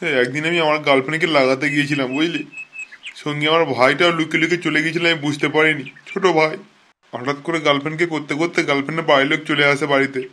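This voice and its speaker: A man's voice: fairly high pitch, fairly even intonation, very fast, bright, forceful tone, a little hiss or hum. A man voicing a blunt, streetwise big-brother convict in a comic cartoon. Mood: mournful. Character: gruff, streetwise older-brother convict, teasing yet sentimental.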